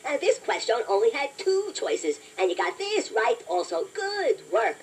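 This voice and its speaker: A woman voicing a chatty, playful toy robot that hosts a children's quiz, encouraging the listener in a deliberate, self-deprecating way. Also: sits high, big pitch swings, heavily acted. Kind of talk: scripted children's quiz narration. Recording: noisy toy cassette playback, distant from mic.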